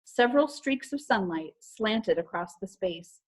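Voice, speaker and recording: Woman, children's read-aloud, teacherly, medium pitch, animated character lines, calmer narration, video call. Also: a little slow, slightly rough. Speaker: teacher reading aloud to a class.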